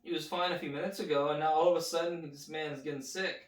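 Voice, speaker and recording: A man's voice: on the high side, fairly even intonation, fast talker, reverberant. A man hosting a watch party, chatting and reacting aloud to a cartoon as it plays. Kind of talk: casual commentary while watching a cartoon.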